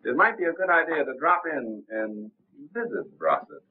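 An actor playing a cool, suave gentleman sleuth in a 1930s film, speaking smoothly and politely on a tinny soundtrack. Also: on the high side, very animated, normal speed. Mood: easy, composed.

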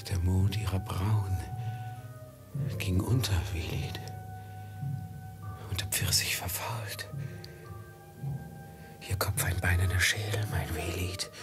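An actor in a 1950s radio play, playing a feverish merchant haunted by doom, solemn and poetic, often sinking to a whisper. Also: male voice, low voice, fairly even intonation, slow pace, pauses often, music in the background. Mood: morbid, dreamy.

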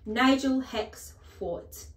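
A woman's voice: mid-range voice, unhurried, darkish tone, natural voice. A woman voicing a huge, bullying headmistress who barks contemptuous commands and insults in a children's storybook reading. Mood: barking, menacing. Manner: barking, bellowing commands, contemptuous. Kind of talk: children's storybook read-aloud. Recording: echoey room.

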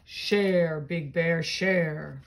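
A woman reading a storybook aloud, voicing a stern old talking oak tree that gives slow, loud, emphatic commands. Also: low voice, heavily acted. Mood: commanding. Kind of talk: children's storybook read-aloud.

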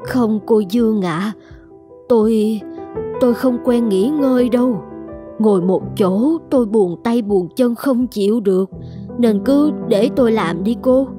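A woman's voice: fairly high pitch, unhurried, music in the background, close to an everyday voice. A woman playing a doting, humble elderly housekeeper in a cartoon, with a coaxing manner. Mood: humble, pleading. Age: older. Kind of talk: scripted cartoon dialogue.

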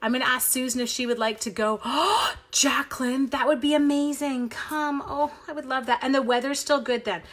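A woman's voice: sits high, fairly even intonation, moderate pace, few pauses, fairly bright tone. A middle-aged woman from Canada, chatty and upbeat, leading a live card-making tutorial at her craft desk while she talks with viewers.

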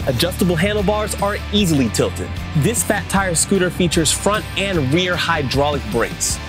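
A man with a somewhat high voice presents a product review from a script. His delivery is upbeat and casual, with slang dropped in freely and plenty of enthusiasm. A clear guitar music bed plays behind him. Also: animated, moderate pace. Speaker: presenter of a vehicle review channel.

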